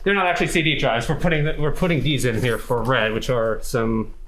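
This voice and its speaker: A man casually explaining computer parts to a friend during a home build, relaxed and chuckling as he goes. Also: medium pitch, a little fast. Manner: laid-back, chuckles while listing parts.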